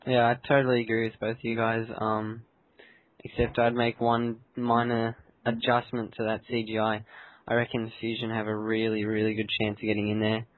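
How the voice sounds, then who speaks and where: A man hosts a casual chat in a fairly low voice with little melody. He sounds compressed and tinny, as if on an internet call. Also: monotone, moderate pace, no echo. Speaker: host. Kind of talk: casual gaming discussion. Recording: narrow lo-fi voice chat.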